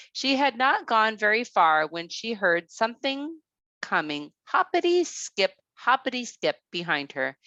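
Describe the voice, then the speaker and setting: Animated, bright-voiced woman reading a children's story. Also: medium pitch, dry recording, highs cut off. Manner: expressive, careful read-aloud.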